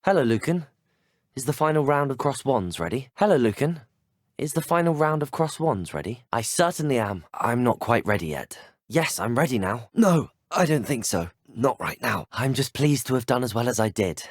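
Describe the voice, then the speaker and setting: Man voicing a lively, polite young British student wizard. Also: mid-range voice, normal speed, no room sound, clean studio sound, lightly acted. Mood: polite, curious. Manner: polite, well-spoken, earnest. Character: polite, plucky teenage male student wizard, eager adventurer. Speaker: video game player character voice. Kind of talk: scripted game voice lines.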